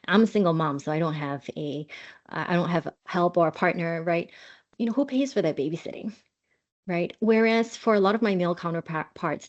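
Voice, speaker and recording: A woman from Singapore, a guest on an interview podcast, with a voice on the low side. She explains at length in a measured, earnest way. The audio sounds dull and lo-fi. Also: darkish tone. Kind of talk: interview answers.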